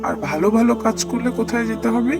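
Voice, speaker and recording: A man's voice: extremely high pitch, fast. A man voicing an affectionate, patient cartoon father who coaxes and teaches his child. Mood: patient, instructive. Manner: coaxing, didactic. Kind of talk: scripted cartoon dialogue. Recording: loud music behind the voice.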